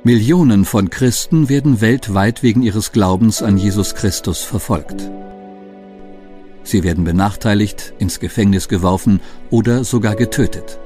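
A man's voice: on the low side, normal speed, mellow, soft background music. A man reads a scripted broadcast introduction as the program announcer.

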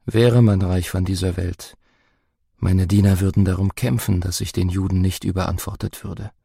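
A man doing a calm, grave prisoner on trial, speaking slowly and solemnly. The voice sits very low with a gravelly, husky edge and a dark, mellow tone, in a dry, close recording. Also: no room sound, full character voice. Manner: slow, solemn, deep measured delivery.

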